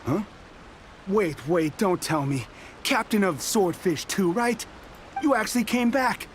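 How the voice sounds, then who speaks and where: A man's voice: high voice, expressive, unhurried, a little hiss or hum. A man voicing a stubborn, proud soldier in a video game, defiant and scornful. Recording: game audio with music.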